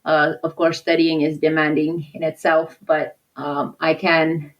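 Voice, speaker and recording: Woman, low-pitched, raised in Papua New Guinea, podcast guest, hesitant, reflective. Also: unhurried, muffled recording. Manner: thoughtful, with frequent hesitations and restarts; lively when acting out conversations. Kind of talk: casual interview talk.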